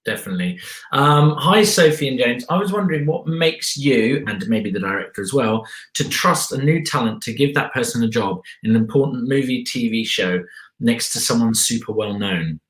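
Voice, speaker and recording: A man's voice: medium pitch, animated, moderate pace, a bit bright. A man hosting a casual Q&A, reading out audience questions and chiming in with upbeat affirmations. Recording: echoey room.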